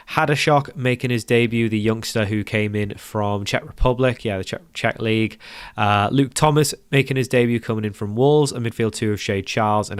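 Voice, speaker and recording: A man's voice: on the low side, no echo, studio quality. Stuffy-nosed young man commentating gameplay. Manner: rambling, self-correcting, relaxed. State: stuffy nose. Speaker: gaming YouTuber.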